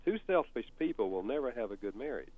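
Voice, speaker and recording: A man's voice: mid-range voice, animated, fast, no room sound. An older man with a Southern US accent, explaining things on a talk show in a folksy, storytelling way. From North Carolina. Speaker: author and counselor guest. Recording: tinny phone-like sound.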